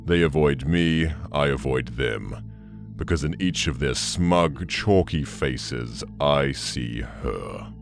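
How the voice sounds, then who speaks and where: Ancient demon, cavernously low voice, bitter, contemptuous, slow menacing audio drama monologue, music underneath. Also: male voice, lively intonation, creaky, full character voice. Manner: slow, menacing, brooding delivery.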